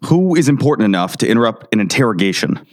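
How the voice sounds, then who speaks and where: A man playing a curt, stubborn sheriff, swinging pitch. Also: very animated, fast. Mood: curt, impatient. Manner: curt, sarcastic, defiant. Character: stubborn, suspicious small-town 1960s male sheriff. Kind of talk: audio drama acting.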